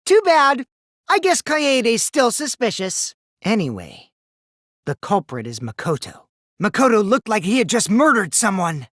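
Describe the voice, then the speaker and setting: A man's voice: sits high, huge pitch swings, measured pace, dry recording, studio quality, full character voice. A man voicing a mischievous, childish teenage boy who lies and schemes, in a youthful, teasing, theatrical video game performance. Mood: gleeful, dramatic, then low, sinister. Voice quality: boyish, youthful put-on voice. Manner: teasing, theatrical, swinging from singsong to hushed menace. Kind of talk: English video game voice acting.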